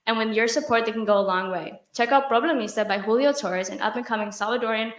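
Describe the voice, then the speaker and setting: A woman's voice: on the high side, fast, reverberant. A young woman giving a prepared, earnest speech to an audience, with occasional restarts. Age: young adult. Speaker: student presenter.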